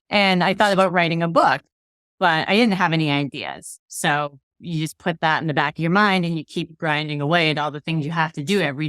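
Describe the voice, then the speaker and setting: Low-voiced woman, reflective author guest. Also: no room sound, clean studio sound. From Massachusetts. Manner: reflective, relaxed storytelling with soft laughs. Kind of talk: casual interview chat. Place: home room with bookshelves. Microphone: video call.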